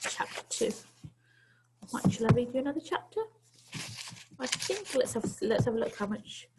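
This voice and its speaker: A woman's voice: a little high, expressive, normal speed, heavy background noise. A woman teacher reading a children's story aloud to pupils, expressively and with dramatic, emphatic phrasing.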